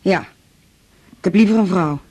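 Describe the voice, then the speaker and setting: An actress with a Netherlands Dutch accent playing a stubborn, aggrieved and demanding patient in a radio drama, indignant and combative in manner. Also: female voice, on the low side, moderate pace, mellow, natural voice.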